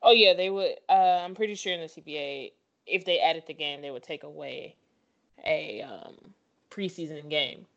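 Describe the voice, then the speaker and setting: A woman's voice: deep voice, normal speed, creaky, fairly bright tone, lo-fi sound. A woman co-host in casual sports chat, opinionated and repeating herself for emphasis.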